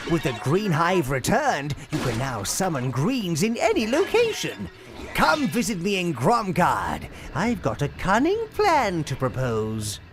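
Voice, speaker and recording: Servile old minion advisor, wildly swooping delivery. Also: male voice, on the high side, full character voice. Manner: servile, scheming, theatrical. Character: sly old male minion advisor to a dark lord. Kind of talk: scripted video game character acting. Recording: music behind the voice.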